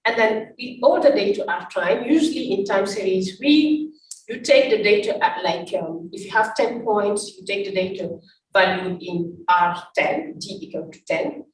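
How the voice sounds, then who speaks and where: A woman from Senegal giving an academic talk over slides, pausing often with fillers and restarts. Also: medium pitch. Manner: halting, many fillers and restarts. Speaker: invited academic speaker. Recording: roomy, distant, faint noise.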